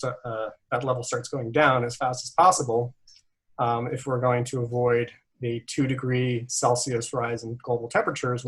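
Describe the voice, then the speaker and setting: A man hosting a candidate forum, speaking haltingly with many restarts and chuckling between points. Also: medium pitch, low-quality recording. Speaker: forum host, moderator. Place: video call.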